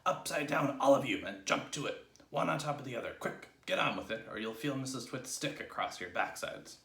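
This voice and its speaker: A fearsome, blustering monkey trainer acted by a man from a children's storybook. He barks and menaces with wildly varied pitch, nasty through and through, in a roomy space. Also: expressive, fairly quick, a bit bright. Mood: barking, menacing. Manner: barking, menacing. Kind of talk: dramatised children's storybook reading.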